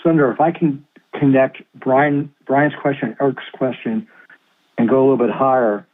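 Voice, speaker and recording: A man's voice: medium pitch, steady tone, moderate pace. A man putting questions as a financial analyst on an earnings call, over a tinny phone line.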